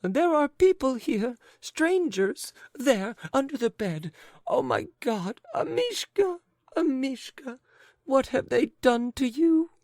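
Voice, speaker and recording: A man's voice: very high voice, big pitch swings, slow, a little mellow, no room sound, studio quality, pitched above the performer's own voice, exaggerated voice. A man reading an audiobook, voicing a distraught lady who shrieks and cries in frantic bursts. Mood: frantic shrieking. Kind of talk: audiobook character voice.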